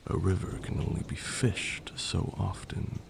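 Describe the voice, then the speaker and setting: A man playing a patient, stern vampire lord who teaches magic in a measured, formal ASMR roleplay. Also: low-pitched, steady tone, creaky, a little hiss or hum, full character voice. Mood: solemn, instructive.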